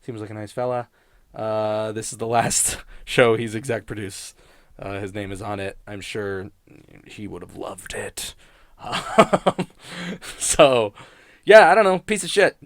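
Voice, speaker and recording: A man's voice: fairly low pitch, animated, measured pace, no room sound, clean studio sound. A man rambling casually and sardonically in an unscripted review, swearing freely like a podcast critic.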